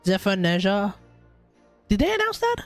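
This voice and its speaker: A man's voice: sits high, huge pitch swings, moderate pace. A man with an Australian accent giving casual, opinionated, rambling commentary on a gaming livestream. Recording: faint game music behind.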